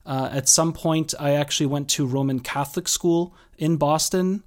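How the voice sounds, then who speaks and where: A man's voice: a little high, normal speed. A man in his thirties from Massachusetts, a podcast guest talking casually about his upbringing, joking and filling his speech with "uh" and "you know". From Massachusetts, USA. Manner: chatty, joking, many fillers and restarts. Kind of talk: casual interview talk.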